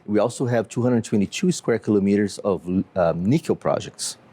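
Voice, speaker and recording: A man from Brazil with a Brazilian accent, a company CEO explaining his business in an interview in a measured way with frequent fillers. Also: fairly low pitch, warm tone.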